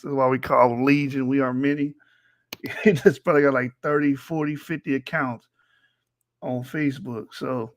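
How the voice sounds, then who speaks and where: A man's voice: medium pitch, no echo, studio quality. A young man co-hosting a casual reaction show, laughing often and trash-talking in loose slang. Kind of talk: casual mocking commentary.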